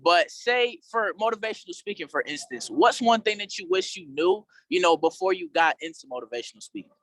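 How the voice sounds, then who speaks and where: A man's voice: high voice, big pitch swings, fairly quick, a bit bright, dry recording, poor audio. A young man co-hosting a podcast, speaking informally and with enthusiasm. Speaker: podcast co-host. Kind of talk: casual, hyped podcast chat.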